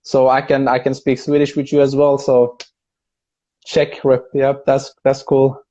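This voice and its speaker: Mellow man, native Persian speaker, muffled audio. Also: mid-range voice, monotone, unhurried. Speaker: live stream guest, content creator. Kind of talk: casual chat. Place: on a video live stream. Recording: dull, muffled video call audio.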